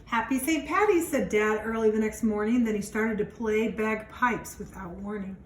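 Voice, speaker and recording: A woman teacher reading a children's storybook aloud, pausing to explain things simply to young listeners. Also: medium pitch, little pitch movement. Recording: roomy, distant mic, faint background noise.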